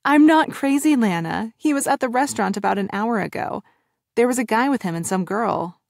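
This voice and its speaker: A woman's voice: a little high, lively intonation, brisk, gravelly, darkish tone, dry recording, studio quality. A woman voicing a flustered, skeptical woman of thirty, raising her voice with surprised questions. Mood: incredulous. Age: 30s.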